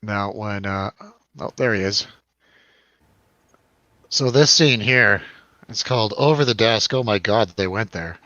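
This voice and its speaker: A man in a casual film discussion with friends, talking informally with exclamations and halting restarts. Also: fairly low pitch, pushed and bright, dry recording, highs cut off. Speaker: film review show host.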